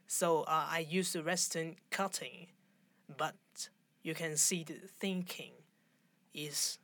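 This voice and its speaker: A woman in her forties from Hong Kong, speaking with a Hong Kong accent and halting, searching English as an interview guest. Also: low voice, steady tone, fairly bright tone, no echo. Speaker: fashion designer, guest. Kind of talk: conversational interview.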